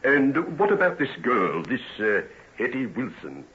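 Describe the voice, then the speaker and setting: An actor playing a polite but persistent Victorian police inspector in an old-time radio drama. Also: male voice, mid-range voice, wildly swooping pitch, a little slow, fairly bright tone. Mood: methodical, persistent. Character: probing, methodical male police inspector of Victorian London. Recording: dull vintage radio recording.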